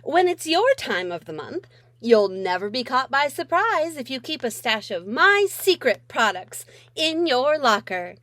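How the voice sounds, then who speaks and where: Woman acting a perky, high-pitched executive mom. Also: very animated, a little slow, a bit bright, no room sound, full character voice. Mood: perky, salesy. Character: polished, high-powered executive mother addressing schoolgirls.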